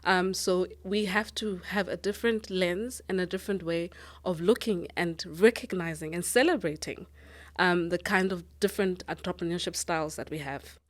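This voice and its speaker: A woman from Lesotho, a managing director answering interview questions earnestly, often pausing with ums. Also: lowish voice. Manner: earnest, thoughtful, with frequent ums and restarts.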